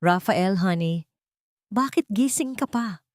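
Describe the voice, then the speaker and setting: A woman's voice: mid-range voice, animated, slow pace, darkish tone, dry recording. A scheming young mistress, played by a woman storyteller, coaxes with false tenderness. The delivery is storybook melodrama, recorded dry and close. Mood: coaxing. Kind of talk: dramatic audio storybook dialogue.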